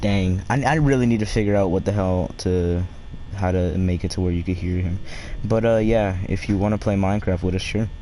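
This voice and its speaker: A man with a deep voice chatting casually and crudely while streaming a game, rambling and swearing as he plays. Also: a little fast, warm tone, a little hiss or hum, rough recording. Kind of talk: casual live-stream chat while gaming.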